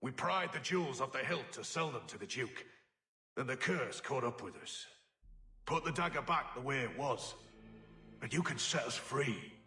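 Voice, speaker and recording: Excitable game pirate, rustic sailor talk, bright forceful voice, roomy distant echo. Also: male voice, mid-range voice, moderate pace. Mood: earnest storytelling. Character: male pirate crewman turned to stone, pleading, excitable. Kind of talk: video game voice acting.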